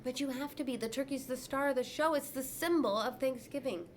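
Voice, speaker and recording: A woman voicing a kind female teacher who speaks brightly and reassuringly. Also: high-pitched, a little fast, a little hiss or hum, pitched above the performer's own voice, lightly acted. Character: kind caring female schoolteacher.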